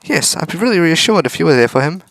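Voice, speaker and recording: A man voicing a gentle young robot girl from a visual novel, politely and wistfully. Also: a little high, very animated, quick pace, dry recording, pitched above the performer's own voice. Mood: earnest, fond.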